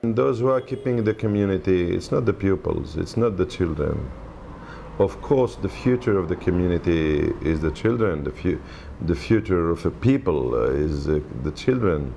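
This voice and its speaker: A middle-aged man answering interview questions from his office, slowly and hesitantly, with many fillers. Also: low-pitched, expressive, gravelly, dark tone, a little hiss or hum. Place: office by a window.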